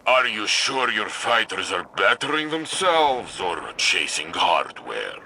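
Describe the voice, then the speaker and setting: A man playing a proud, hearty armored warrior in a video game, with a blunt, solemn, deliberate delivery. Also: lowish voice, big pitch swings, sharp tone, slight background noise, exaggerated voice, poor audio. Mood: hearty needling.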